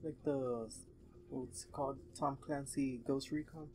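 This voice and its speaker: A man's voice: medium pitch, fairly even intonation, unhurried, darkish tone, slight background noise. Young man chatting casually over gameplay. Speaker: friend watching and commenting.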